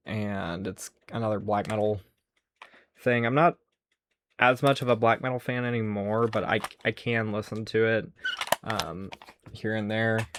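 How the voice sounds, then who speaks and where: Rambling man with noise behind him. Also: lowish voice. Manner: rambling, musing aloud. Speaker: host showing a music cassette collection. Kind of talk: casual unscripted show-and-tell.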